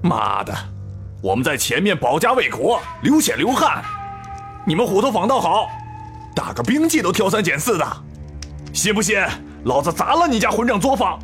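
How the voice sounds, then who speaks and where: Arrogant wolf demon thug, high wildly swinging pitch, sneering, foul-mouthed, threatening, fantasy audio drama. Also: male voice, sing-song, normal speed, a bit bright, exaggerated voice. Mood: angry, demanding. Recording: music under the voice.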